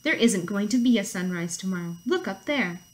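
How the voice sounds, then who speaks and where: A woman's voice: medium pitch, animated, moderate pace, close to an everyday voice. A woman voicing a flustered, bossy mother-hen character in a storybook, exclaiming urgently. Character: flustered, bossy female hen raising the alarm.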